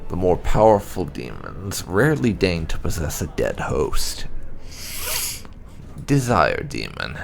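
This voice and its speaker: A man reading game lore aloud as scripted narration, in a measured, dramatic storytelling way. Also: low voice, huge pitch swings, gravelly. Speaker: gaming video host. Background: background music.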